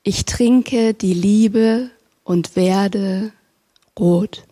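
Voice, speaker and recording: A woman poet introducing herself and reading her poem aloud in a slow, measured, gently hesitant way at a live reading. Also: medium pitch. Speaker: poet and facilitator.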